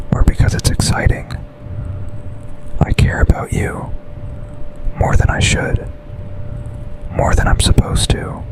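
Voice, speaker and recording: Man playing a whispering, slow-talking secret-lover boss. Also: natural voice, low-quality recording. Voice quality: breathy, unvoiced whisper. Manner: slow, intimate, deliberate delivery in short phrases. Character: secretly smitten male office boss, intense, possessive, tender lover. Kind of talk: whispered ASMR boyfriend roleplay.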